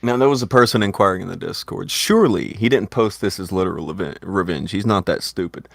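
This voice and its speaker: A man hosting a casual online talk show, joking and swearing, with bursts of loud excitement. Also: low voice, quick pace, creaky, no echo, clean studio sound. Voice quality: lively, swings into loud bursts. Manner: profane, jokey, talks with laughs. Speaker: podcast host. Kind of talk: casual chat.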